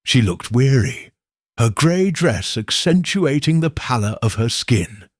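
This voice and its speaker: A man narrating a mystery story in a measured, unhurried storytelling manner. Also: mid-range voice, very animated, breathy edge, no room sound, clean studio sound.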